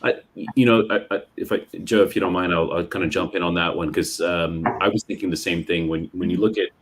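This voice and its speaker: A man from Bermuda speaking on a tech panel in a measured way, with fillers and restarts. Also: low-pitched, steady tone. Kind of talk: panel discussion.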